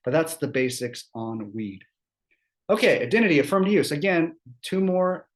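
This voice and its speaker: A man presents employment law at a seminar, his throat scratchy from a cold. He keeps it conversational, with quick asides and frequent "right?" check-ins to the room. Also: on the high side, lively intonation.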